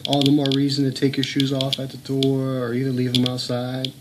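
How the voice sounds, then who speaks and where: A man's voice: mid-range voice, monotone, fairly quick. Blunt, swearing man on a noisy home recording. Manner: earnest, blunt, swears, speaks up insistently. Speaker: home video blogger. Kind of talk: casual filmed commentary. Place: home room.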